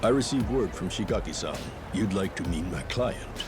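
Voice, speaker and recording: An old martial artist turned lawyer in a video game, polite and sure of himself. His low voice rises and falls freely through formal, ornate phrasing. Music is clearly heard behind him. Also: animated, moderate pace. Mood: courteous.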